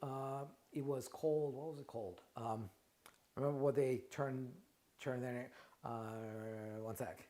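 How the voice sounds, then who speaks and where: A man's voice: medium pitch, fairly even intonation, measured pace, dark tone. A middle-aged man from Russia with a Russian accent, hosting a casual live question-and-answer stream, talking in loose bursts with frequent restarts and repeated words. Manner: conversational, many restarts and repeated words, asks "right?". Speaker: trademark lawyer and company founder, show host.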